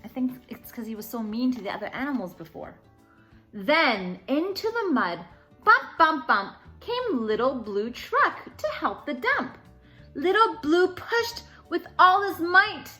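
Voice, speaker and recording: A woman hosting a children's story time, reading a picture book aloud in an expressive, sing-song way. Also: sits high.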